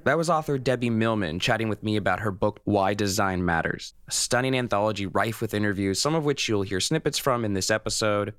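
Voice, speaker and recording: Low-voiced man hosting a podcast, close and dry. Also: a little fast, hardly any pauses, fairly bright tone, dry recording. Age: early 30s. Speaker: podcast host and interviewer. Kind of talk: conversational interviewing and show narration.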